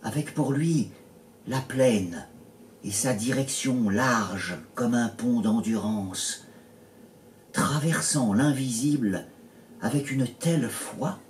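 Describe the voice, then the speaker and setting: A man reading his poetry in a literary style, measured and pausing between short phrases. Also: medium pitch. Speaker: poet reading own poems. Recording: roomy echo, distant mic, faint noise.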